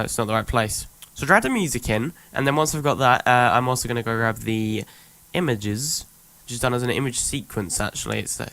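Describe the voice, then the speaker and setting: A man's voice: mid-range voice, a bit bright. A young man with a voice worn by illness, walking through his video editing in a casual, rambling screen-recorded tutorial full of ums. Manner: rambling, thinks aloud with many ums. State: sick, voice worn. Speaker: youtuber showing his editing.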